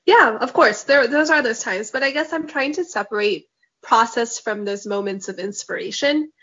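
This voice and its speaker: A woman author whose first language is Mandarin, answering interview questions in a thoughtful, measured, reflective way. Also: fairly high pitch, fairly bright tone, highs cut off.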